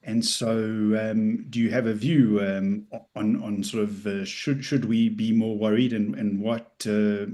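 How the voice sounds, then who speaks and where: A man from South Africa, the host, asking a halting question full of fillers and restarts. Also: on the low side, steady tone, dark tone. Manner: hesitant, many fillers and restarts.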